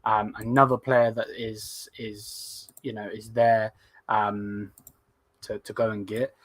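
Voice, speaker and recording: A man hosting a casual live stream, slightly hoarse, rambling with lots of hesitant fillers as he reads viewer comments. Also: on the low side, level tone, takes it slowly, dry recording. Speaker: host of a live fan stream.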